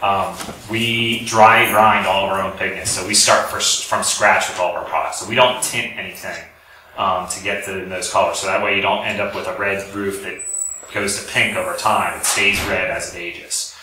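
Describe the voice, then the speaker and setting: Man, American accent, bright low voice, explanatory lecture Q&A, conversational, distant mic. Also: steady tone, normal speed. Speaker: company presenter taking audience questions. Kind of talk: informal lecture question-and-answer. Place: echoey lecture room with an audience. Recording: roomy, echoey hall, distant mic, faint noise.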